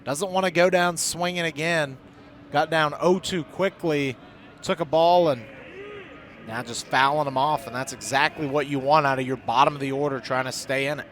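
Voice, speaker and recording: A man's voice: on the high side, steady tone, moderate pace, a bit bright. A man giving conversational, explanatory color commentary on a live baseball radio broadcast. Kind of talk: conversational live sports analysis. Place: outdoor ballpark broadcast.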